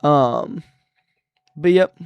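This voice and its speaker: Young male streamer, easygoing chat, smooth clear voice a little high, dry close sound. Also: extremely slow, no echo, dull audio. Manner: relaxed, easygoing, trails off mid-sentence. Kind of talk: casual chat.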